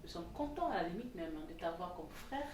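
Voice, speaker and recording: A woman hosting a TV interview, lively and quick to laugh. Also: on the low side. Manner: warm, lively, laughs easily. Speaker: TV host. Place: TV studio set. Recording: roomy, distant mic, faint noise.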